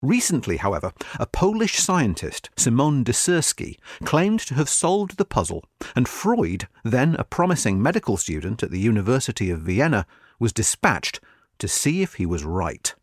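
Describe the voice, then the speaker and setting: A man narrating a scripted history magazine article in a measured, articulate way. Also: medium pitch, very animated, dry recording, clean studio sound.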